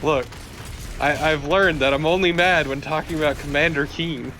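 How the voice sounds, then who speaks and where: A man's voice: fairly high pitch, steady tone, normal speed, sharp tone, slight background noise. A man co-commentating on a video game in a casual, joking, exaggerating way. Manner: joking, hyperbolic ranting. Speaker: let's play co-commentator.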